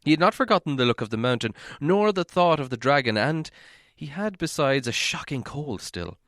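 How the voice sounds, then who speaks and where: A man's voice: a little high, lively intonation, normal speed, a bit bright, no echo. Man reading a storybook, dry close sound. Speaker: audiobook reader. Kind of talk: expressive scripted storybook narration.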